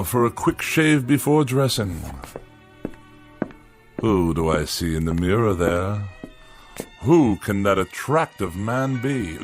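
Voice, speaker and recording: An actor playing a pompous, vain and irritable middle-aged bachelor with florid, theatrical diction in a radio-style drama. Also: male voice, medium pitch. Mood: smug.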